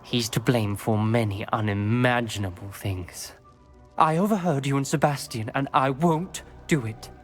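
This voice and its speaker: A man playing a principled, guilt-ridden young wizard student in a game, with a formal, measured way of speaking. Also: mid-range voice, faint music behind, close to an everyday voice. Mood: bitter, remorseful. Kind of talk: scripted game cutscene acting.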